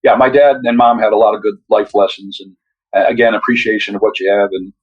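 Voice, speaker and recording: A man's voice: lowish voice, fairly even intonation, fast, husky, muffled recording. An older man, the guest author, talking in a reflective, rambling way with frequent restarts. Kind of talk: casual interview conversation.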